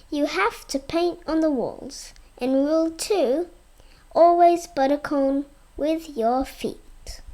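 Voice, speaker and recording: A child reading a storybook aloud, careful and expressive, word by word. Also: high voice. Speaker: reader.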